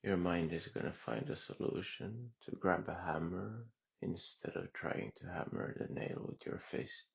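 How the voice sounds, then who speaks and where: A man guiding a meditation in a calm, unhurried way with long pauses. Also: low voice, vocal fry, husky, muffled recording. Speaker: meditation guide. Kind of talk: guided meditation.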